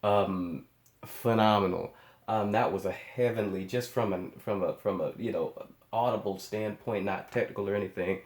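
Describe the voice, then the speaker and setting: A man's voice: fairly low pitch, fairly even intonation, normal speed, echoey room. A man giving casual, off-the-cuff reaction commentary as a host, speaking with amazed emphasis and often repeating his words and phrases. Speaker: host of a music reaction channel.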